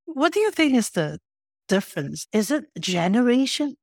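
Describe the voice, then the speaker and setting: A woman's voice: on the high side, big pitch swings, normal speed, a little mellow, no echo, clean studio sound. A woman from Singapore, hosting a podcast and asking curious, open questions in casual conversation. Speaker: podcast host.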